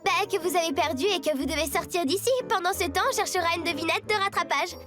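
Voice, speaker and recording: A woman's voice: very high-pitched, quick pace, over music. A woman voicing a clever, confident little schoolgirl in a French cartoon. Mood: confident, teasing. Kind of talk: French animated series dubbing.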